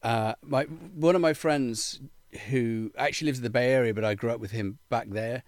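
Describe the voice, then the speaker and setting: Animated English man in his fifties. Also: medium pitch, normal speed, no room sound. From England. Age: 50s. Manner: animated, swears casually, rambling stories. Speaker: guest musician. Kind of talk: casual podcast chat.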